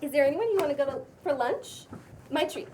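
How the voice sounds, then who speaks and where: A woman's voice: sits high, animated, fairly quick. A woman playing a trendy, breezy young Los Angeles woman with an affected manner in a table read of a pilot script. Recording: distant microphone with faint room noise.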